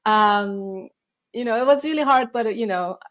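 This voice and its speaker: A woman's voice: medium pitch, moderate pace, dull audio. Woman telling her story. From Iran. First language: Persian. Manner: animated storyteller, laughs often at herself. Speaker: guest. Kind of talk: podcast interview.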